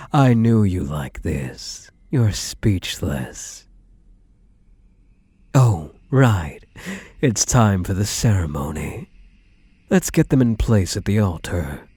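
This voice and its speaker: A man's voice: low-pitched, mellow, slightly rough, no echo, heavily acted. A man playing a possessive, menacing kidnapper groom in an ASMR roleplay, taunting his captive with smug, mock-tender endearments. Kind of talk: ASMR roleplay audio drama.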